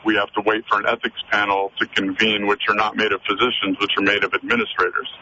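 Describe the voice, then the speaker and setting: A man's voice: lowish voice, level tone, fast talker, pushed and bright. A man calling in to a radio show from his car over a phone line, talking earnestly with frequent hesitations and fillers. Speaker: caller. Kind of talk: call-in radio conversation. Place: in a car, driving. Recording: muffled phone call with faint road noise.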